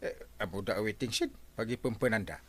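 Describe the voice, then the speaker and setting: An actor playing an easily exasperated village captain, an older uncle figure, in an Ilocano radio comedy drama. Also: male voice, on the low side, fast, no room sound, close to an everyday voice.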